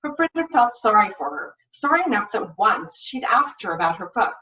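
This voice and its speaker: Woman, story reader, lively intonation, expressive narration, distant slightly muffled recording. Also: mid-range voice, moderate pace, soft background music. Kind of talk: expressive scripted narration of a novel.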